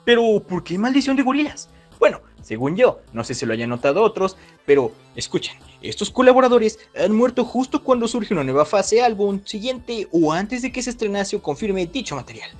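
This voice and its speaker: A man's voice: high-pitched, sing-song, moderate pace, hardly any pauses, a little mellow, soft background music. A man hosting a video with casual scripted commentary, chatting directly to his viewers. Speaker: YouTube host.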